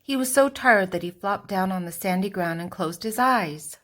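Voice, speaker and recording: An older woman narrating a children's storybook expressively, with deliberate dramatic pauses and emphasis. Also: medium pitch, a bit bright.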